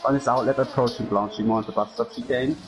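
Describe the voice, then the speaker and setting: A man's voice: medium pitch, steady tone, quick pace, music in the background. A man from Germany with a German accent giving casual play-by-play of a sim race. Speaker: lead commentator. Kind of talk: casual sim racing commentary.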